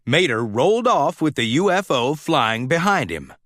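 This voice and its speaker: A man's voice: fairly high pitch, very animated, moderate pace, fairly bright tone, no echo, studio quality. A man reading a children's storybook aloud as scripted narration. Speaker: storybook reader.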